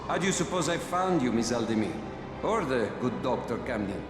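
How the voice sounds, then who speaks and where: A man's voice: medium pitch, big pitch swings, fairly quick, lightly acted. A man playing a menacing, smug warlord in a video game, speaking in a grandiose, mocking way. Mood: gloating. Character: menacing, theatrical male warlord, smug and taunting. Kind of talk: video game cutscene acting. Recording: echoey room with loud music.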